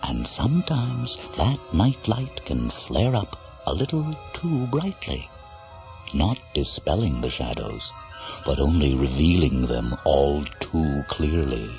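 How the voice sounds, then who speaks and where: An actor playing an ominous narrator of eerie tales, speaking in measured, scripted radio-drama style. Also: male voice, extremely low pitch, sing-song, creaky, over music, exaggerated voice. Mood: brooding. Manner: measured, ominous, storytelling delivery.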